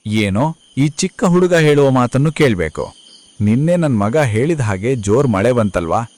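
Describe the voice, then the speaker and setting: A man's voice: mid-range voice, animated, moderate pace, darkish tone, natural voice. A man voicing a village father in a cartoon story, urging the people to listen in a firm, persuading way. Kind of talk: cartoon voice acting.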